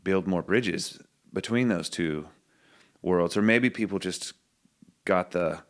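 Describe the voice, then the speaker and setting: A singer-songwriter with a low voice, talking thoughtfully as a guest on a podcast, musing through ideas with loose restarts. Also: male voice, takes it slowly. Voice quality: low singing voice. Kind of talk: reflective podcast conversation.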